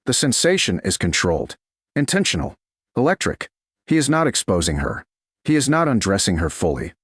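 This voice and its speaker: A man narrating a scripted romance audiobook in a slow, measured, dramatic manner. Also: on the low side, dry recording, studio quality.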